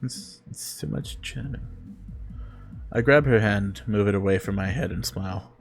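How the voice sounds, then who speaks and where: Low-voiced man streaming a visual novel. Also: normal speed, creaky. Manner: jokey, mock-disgusted asides. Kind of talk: reading a visual novel aloud with casual reactions. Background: music.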